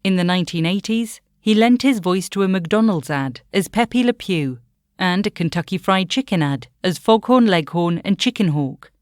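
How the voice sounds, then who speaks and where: A woman's voice: lowish voice, expressive, moderate pace, darkish tone, dry recording, clean studio sound. A woman narrating a scripted documentary as the host.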